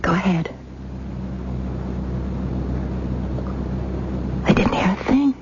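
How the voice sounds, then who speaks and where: A woman's voice: mid-range voice, lively intonation, brisk, in a whisper, close to an everyday voice. An actress playing an anxious, devoted captive wife and mother in a radio mystery drama, nervous and emotional, repeating herself. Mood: tense. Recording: muffled old radio recording, clear noise.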